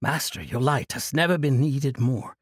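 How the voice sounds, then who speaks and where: A man's voice: mid-range voice, animated, normal speed, slightly rough, dry recording, clean studio sound. A man voicing an earnest young hero who pleads with his old master. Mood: pleading.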